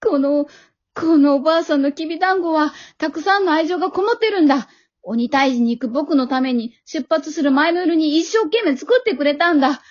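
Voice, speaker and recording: A woman's voice: sits high, brisk, close to an everyday voice. A woman playing an earnest, exasperated young boy hero with a scolding, pleading manner, in an amateur comedy radio drama. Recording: dull lo-fi audio.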